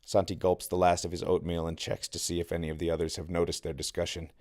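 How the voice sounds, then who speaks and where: A man's voice: low-pitched, little pitch movement, fast, a little mellow, no echo, clean studio sound. A man reading their own novel aloud as scripted audiobook narration.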